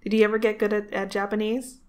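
A woman's voice: medium pitch, little pitch movement, a little mellow. Friendly woman hosting a podcast. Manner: encouraging, quick to laugh. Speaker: podcast host. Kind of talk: casual interview chat.

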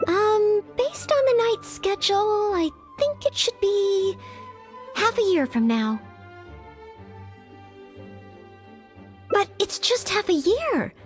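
An extremely high-pitched young maid for a video game, earnest and courteous. She is hesitant and self-doubting yet dutiful, and background music plays softly under her words. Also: animated, takes it slowly, full character voice. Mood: hesitant, modest. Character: earnest, anxious young maid aspiring to knighthood.

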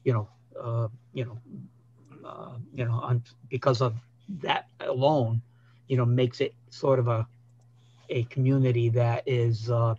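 An older man, a state senator, speaking haltingly over a video call from his office, with many uhs and you knows. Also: medium pitch, steady tone, warm tone. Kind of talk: hearing remarks. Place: office with a whiteboard. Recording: dull lo-fi video call audio.

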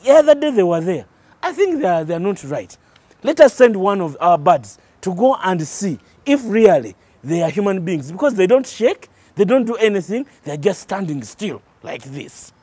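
A man voicing a flock of cheeky, hungry birds in a spoken folktale, in a high, wheedling sing-song. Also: sing-song, moderate pace, dark tone, slightly rough, no echo, exaggerated voice. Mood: scheming.

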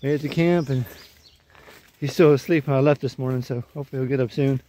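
A man's voice: mid-range voice, steady tone, quick pace, dark tone, slight background noise. A man in his forties vlogging casually from a wooded mountain trail, phone held at arm's length, talking easily. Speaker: thru-hiker vlogger. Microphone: phone camera at arm's length.

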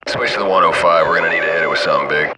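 A man's voice: sits low, rapid-fire, over loud music, lightly acted. An actor playing a laid-back, cocky military gunship crewman, chatting casually over the radio in a video game. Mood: cool, focused. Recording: distant military radio, loud aircraft cabin noise.

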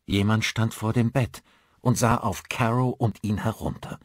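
A male narrator with a very deep voice reads scripted horror fiction as an audiobook. The sound is dry, with hardly any room to it. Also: steady tone, unhurried, no room sound.

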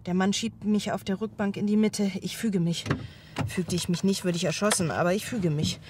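A woman's voice: mid-range voice, fairly even intonation, moderate pace, lightly acted. Actress as shaken mother, noisy background. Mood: numb, resigned. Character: shaken mother recounting her own arrest. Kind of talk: literary radio drama monologue.

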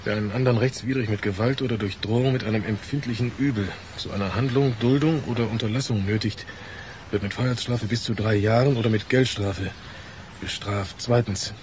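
A man's voice: fairly low pitch, fairly even intonation, fairly quick, background noise, lightly acted. An actor playing a troubled, reproachful working man in a radio drama. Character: troubled, conscience-stricken, reproachful male workman.

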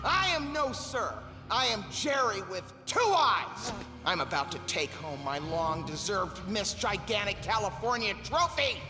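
A man's voice: sits high, very animated, unhurried. An actor voicing a huge, vain and volatile female bodybuilder in a video game, speaking in a self-admiring, menacing way. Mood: menacing, furious. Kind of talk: video game cutscene acting. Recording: echoey hall with music behind.

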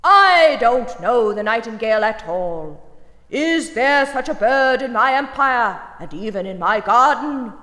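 A woman's voice: fairly high pitch, expressive, unhurried, fairly bright tone, roomy sound, long reverb tail. A woman putting on a huffy, commanding emperor. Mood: indignant. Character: pompous, indignant male emperor.

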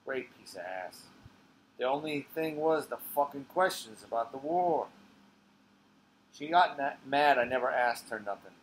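A man's voice: medium pitch, lively intonation, a little slow, natural voice, low-quality recording. A man reading a stage monologue as a crude, uneducated old war veteran, rambling and swearing bluntly. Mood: gruff reminiscing. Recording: clear background noise.

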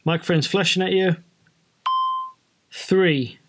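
Higher-pitched British man, casual tutorial. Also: takes it slowly. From Britain. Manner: informal, explanatory. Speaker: flight training presenter.

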